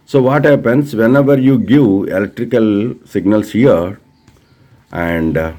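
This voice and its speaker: Low, mellow Indian man teaching. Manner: addresses listeners directly, repeats phrases, asks rhetorical questions. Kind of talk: informal hands-on technical explanation.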